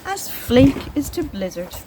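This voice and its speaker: A woman presenting and reading poetry for children in an expressive, deliberate, encouraging way. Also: high voice, background noise. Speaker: presenter and poem reader.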